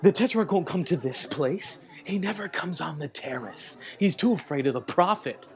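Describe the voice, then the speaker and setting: Flustered, lively-pitched soldier. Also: male voice, moderate pace. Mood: nervous. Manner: flustered, hesitant. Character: nervous soldier, deferential to royalty. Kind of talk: theatrical audio drama acting.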